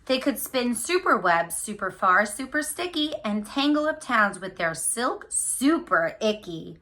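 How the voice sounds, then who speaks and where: A woman reading a picture book aloud to children, speaking to them directly with animated, drawn-out emphasis on key words. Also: a little high. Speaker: read-aloud storyteller for children.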